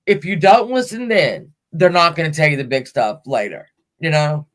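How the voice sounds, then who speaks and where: A woman's voice: deep voice, lively intonation, normal speed. A woman, chatting casually as host in a blunt, joking way. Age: 40s. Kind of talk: casual chat.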